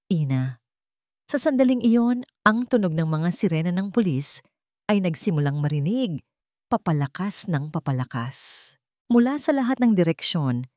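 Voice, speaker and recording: Female storyteller, slightly low register, dry studio-close sound, scripted dramatic narration. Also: a little mellow, dry recording.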